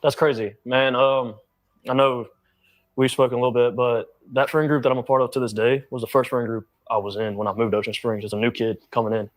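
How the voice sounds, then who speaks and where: A young adult man giving an emotional interview account in an earnest, halting way. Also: medium pitch, little pitch movement, no echo.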